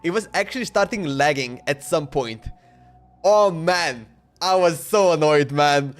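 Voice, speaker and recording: A man's voice: a little high, a little slow. A man chatting casually on a game livestream in an informal, slangy, repetitive way. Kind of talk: casual stream chat.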